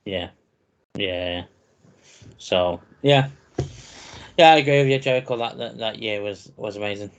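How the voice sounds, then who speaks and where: Deep-voiced man chatting casually. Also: measured pace, pauses often, lo-fi sound. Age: 30s. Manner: relaxed, chatty, laughs easily. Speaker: podcast co-host. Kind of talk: casual wrestling chat.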